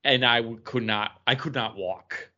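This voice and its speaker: A man with a bright, tense edge to his mid-pitched voice chats on a podcast. He tells personal anecdotes casually. The sound is slightly lo-fi. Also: a little slow. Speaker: podcast host. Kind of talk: casual chat, telling anecdotes.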